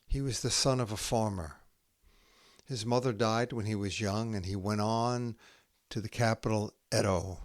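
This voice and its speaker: A man hosting a poetry podcast, reading scripted lines in a measured, deliberate way with long pauses between short phrases. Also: fairly low pitch, steady tone, no room sound, clean studio sound.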